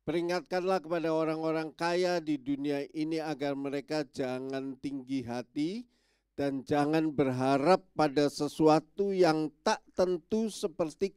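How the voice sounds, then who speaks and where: A man's voice: fairly high pitch, a little mellow, clear, resonant tone, no echo, studio quality. A male pastor preaching to his congregation, his voice stuffy and nasal from a cold, with many small filler yeses between phrases. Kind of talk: sermon. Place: church.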